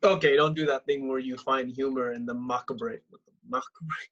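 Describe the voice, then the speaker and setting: A man's voice: mid-range voice, fairly even intonation, moderate pace, fairly bright tone, muffled recording. A man playing a cocky, blunt, self-absorbed housemate in a comedy table read. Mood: exasperated.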